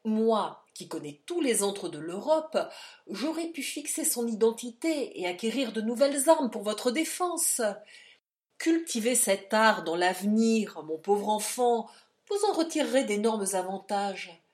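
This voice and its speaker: A woman reading aloud and voicing an old male doctor who lectures in a forceful, emphatic, scornful way. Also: medium pitch, lively intonation, measured pace, close to an everyday voice. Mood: lecturing.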